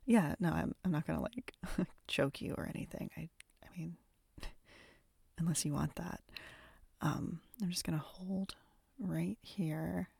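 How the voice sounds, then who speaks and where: Woman, soft close-mic whisper, very breathy and husky, slow unhurried delivery. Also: on the low side, dry recording. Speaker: audio roleplay performer. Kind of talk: intimate whispered audio roleplay.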